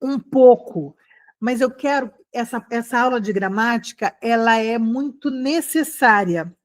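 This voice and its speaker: A woman with a Brazilian accent giving a conversational online language lesson, encouraging and animated, often checking in with short questions to her students. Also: on the high side, measured pace. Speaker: online English teacher.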